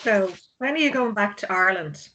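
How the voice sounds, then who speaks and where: A woman's voice: medium pitch, lively intonation, brisk, natural voice. A woman acting a concerned, frank friend in a drama script read. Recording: clearly noisy line with clicks.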